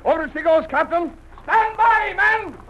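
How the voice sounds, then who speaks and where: An actor playing a hearty ship's hand in a 1940s radio adventure. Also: male voice, very high voice, a little slow, a bit bright. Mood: rousing. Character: gruff, hearty seaman, crew hand to the captain. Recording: old lo-fi radio drama recording.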